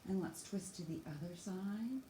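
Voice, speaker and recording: Echoey, low-voiced woman leading stretches. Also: animated, normal speed, dark tone. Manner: encouraging, simple step-by-step cues. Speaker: teacher leading a children's yoga stretching class. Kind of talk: instructional exercise guidance, demonstrating while talking. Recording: echoey room, faint background noise.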